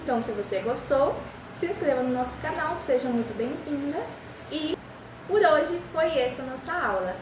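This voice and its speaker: A woman's voice: high voice, lively intonation, recorded from a distance, background noise. Young Spanish-speaking woman teaching, echoey room. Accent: Spanish-speaking, speaking Portuguese. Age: young adult. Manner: encouraging, repeats phrases slowly for practice. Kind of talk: beginner language lesson.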